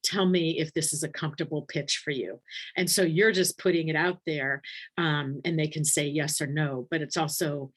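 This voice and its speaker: Low-voiced woman nurse educator, chatty. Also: steady tone, normal speed. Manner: warm conversational, many ums, thinks aloud. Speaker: palliative care nurse educator. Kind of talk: casual webinar teaching, reading chat questions.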